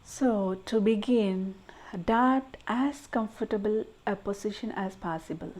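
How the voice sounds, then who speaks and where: Very slow, mellow woman guiding meditation. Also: mid-range voice. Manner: gentle, soothing, measured phrases with pauses. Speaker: meditation guide. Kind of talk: guided meditation.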